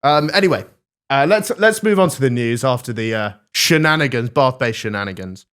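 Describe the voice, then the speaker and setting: A young man hosting a comedy podcast, deadpan with frequent giggles. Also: medium pitch, a little fast, smooth. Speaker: host. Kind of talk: casual comedy podcast chat.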